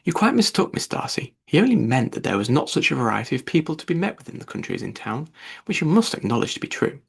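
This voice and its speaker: A man's voice: mid-range voice, sing-song, fast talker, vocal fry, breathy edge, heavily acted. A man voicing a witty, self-possessed, frank young woman in a classic novel audiobook, composed and teasing. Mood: embarrassed, correcting.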